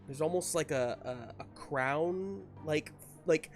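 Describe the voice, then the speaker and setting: A man improvising narration as game master of a tabletop game, piecing scenes together haltingly. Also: a little high, faint music behind. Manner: halting, searching for words in pieces.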